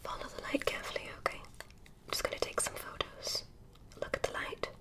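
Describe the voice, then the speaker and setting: A woman whispering as a curious alien doctor. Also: natural voice. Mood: curious, soothing. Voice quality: breathy whisper. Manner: soft, slow whispering with gentle instructions. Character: curious, polite female alien doctor examining a human. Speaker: ASMR creator. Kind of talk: ASMR medical roleplay. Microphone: close mic.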